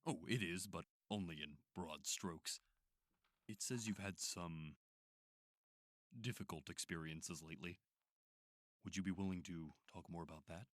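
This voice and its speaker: Institute doctor, audio drama. Deep male voice, measured, formal, probing; dry, close recording. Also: a bit bright, no room sound. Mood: politely probing. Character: smooth, probing male psychiatrist running an institute.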